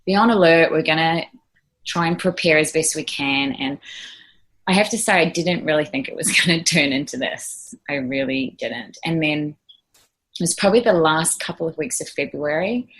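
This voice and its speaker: A woman's voice: sits low, moderate pace, fairly bright tone, husky. A woman in her thirties with a New Zealand accent, a nurse answering interview questions earnestly, with frequent fillers and restarts. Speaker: ICU nurse guest.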